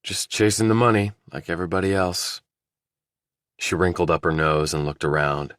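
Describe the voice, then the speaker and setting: A man narrating a thriller audiobook, reading scripted prose and character dialogue in a deep voice. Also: fairly even intonation, normal speed, fairly bright tone, smooth, no room sound, clean studio sound.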